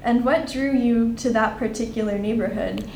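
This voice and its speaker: A young woman, a graduate student, reading a formal oral history introduction and then asking interview questions in a measured way. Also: fairly even intonation, vocal fry, a little mellow. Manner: measured, reads the consent script carefully. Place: conference room. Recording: echoey room with faint rumble.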